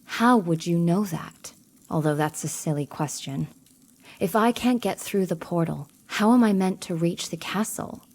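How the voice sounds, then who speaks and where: A woman's voice: lowish voice, a little slow, darkish tone. A woman playing a determined young rebel witch in a video game cutscene, speaking earnestly and formally. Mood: questioning.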